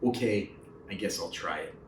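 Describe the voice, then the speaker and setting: A man's voice: low-pitched, moderate pace, voice pushed down, close to an everyday voice. A man voicing an anxious, shy third-grade boy in a plain, childlike way for a storybook, recorded roomy and distant. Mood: worried, doubtful. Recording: roomy echo, distant mic.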